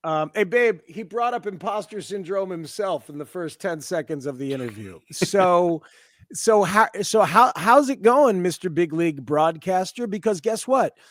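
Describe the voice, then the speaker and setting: A man's voice: sits high, animated, normal speed, a bit bright, no room sound, clean studio sound. A man in his fifties with an American accent, hosting a casual sports radio interview in a conversational way. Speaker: sports talk radio host.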